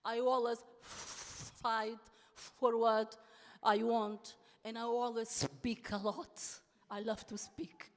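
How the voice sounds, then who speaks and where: A woman, a politician and activist, speaking slowly with frequent stuttering, pauses and restarts. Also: a little high, flat delivery. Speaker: politician and anti-racist activist. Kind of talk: panel talk. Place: large hall at a public event. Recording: long hall reverb.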